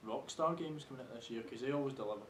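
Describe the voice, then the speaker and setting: A man's voice: medium pitch, racing. A man chatting casually about games as part of a group. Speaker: podcast panellist. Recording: echoey room, faint background noise.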